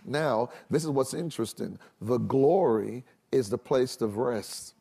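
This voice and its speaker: A man's voice: mid-range voice, measured pace, gravelly, mellow, husky. A middle-aged man, a minister, teaching in an emphatic, explanatory way on a studio panel and repeating phrases for weight. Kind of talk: preaching-style panel talk on a religious TV show. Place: large TV studio set with audience.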